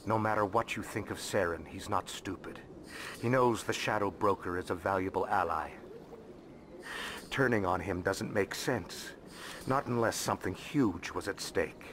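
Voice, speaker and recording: An actor playing a shrewd, courteous alien financial advisor in a video game, speaking formally and knowingly. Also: male voice, low voice, normal speed, background noise, lightly acted. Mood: confiding. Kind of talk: video game character acting. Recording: game music behind the voice.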